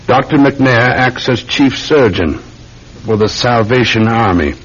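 An actor playing a dutiful, methodical British police sergeant in an old radio drama, polite and measured. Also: male voice, medium pitch, a bit bright, breathy edge. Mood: brisk. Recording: dull, noisy old radio recording.

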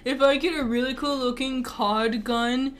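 A woman's voice: sits high, steady tone, a little slow. A young woman co-hosting a casual podcast, swearing freely and laughing often. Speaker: podcast co-host. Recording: faint background noise.

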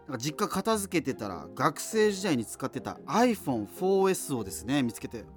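A man's voice: fairly high pitch, sing-song, moderate pace, soft background music. A man playing an amateur solo radio host in a doomed future, chatting in a rambling, self-interrupting way in a radio drama. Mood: chatty, rambling. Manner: rambling, self-interrupting, digressive, casual asides. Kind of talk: conversational radio monologue in a scripted radio drama.

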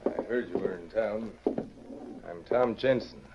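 An actor playing a sly, gloating murderer in an old radio western, smug, distrustful and taunting. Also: male voice, on the low side, unhurried, natural voice. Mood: sly, wary. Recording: muffled, lightly noisy vintage radio recording.

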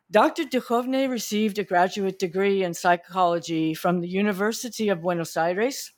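A woman hosting a podcast, reading a careful scripted introduction and then asking questions in a looser way. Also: medium pitch. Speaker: podcast host.

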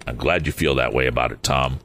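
A cautious older inventor and father, read by a man, measured and deliberate. His voice is deep and gravelly, with a faint hiss under it. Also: fairly even intonation, a bit bright. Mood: calm.

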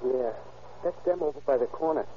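A man's voice: a little high, fairly quick, a little hiss or hum, lightly acted. An actor playing a shy young man on a date in a 1940s radio drama, with mumbling, bashful replies. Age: young adult. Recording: dull lo-fi old radio broadcast.

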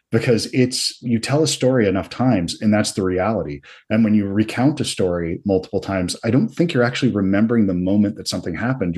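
An American man hosting a podcast, talking casually and reflectively with a slightly husky voice. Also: on the low side, a little mellow. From Georgia and New Jersey, United States. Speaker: podcast host.

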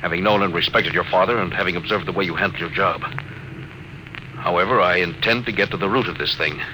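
An actor playing a stern, suspicious spaceship captain, commanding in classic radio science fiction drama style. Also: male voice, sits low, fast talker, natural voice. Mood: stern, probing. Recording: noisy old radio drama recording.

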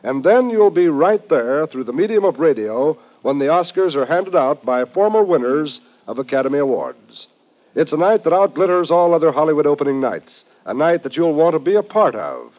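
Casting: American male network announcer, fairly high voice, polished and emphatic, vintage 1950s radio delivery, dull band-limited sound. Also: sing-song, normal speed. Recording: dull old radio recording.

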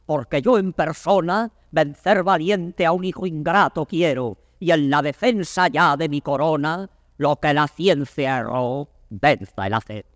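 A man's voice: on the high side, unhurried, few pauses, no echo, clean studio sound, close to an everyday voice. An actor playing a stern, embattled old king, declaiming classical Spanish verse in a solemn manner. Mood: defiant.

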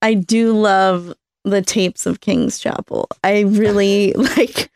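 A woman talks casually on a podcast panel. Her voice sits at a medium pitch and has a lot of vocal fry. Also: fairly even intonation, slow, a little mellow.

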